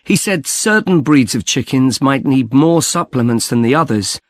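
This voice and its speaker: A man's voice: mid-range voice, animated, moderate pace, dry recording, studio quality, close to an everyday voice. A man playing an earnest young student in a scripted listening-test dialogue. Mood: earnest, chatty. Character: earnest young male student on farm placement.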